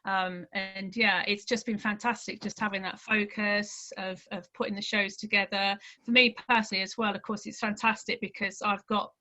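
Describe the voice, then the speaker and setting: A woman's voice: medium pitch, little pitch movement, normal speed, few pauses, bright, forceful tone, low-quality recording. A middle-aged woman chatting casually and effusively as a guest. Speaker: podcast guest, amateur theatre committee member. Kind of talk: casual chat.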